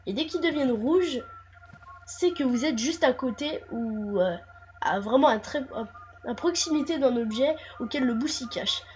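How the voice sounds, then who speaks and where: High, nasal woman with a cold. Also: lively intonation, moderate pace, highs cut off, a little hiss or hum. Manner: hesitant, many fillers and restarts. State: stuffy nose from a cold. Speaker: gameplay commentator. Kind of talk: casual walkthrough commentary.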